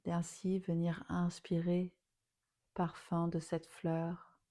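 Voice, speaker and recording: Female meditation guide, lowish pitch, unhurried and soothing, phrases fading softly into breath. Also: monotone. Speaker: yoga nidra teacher.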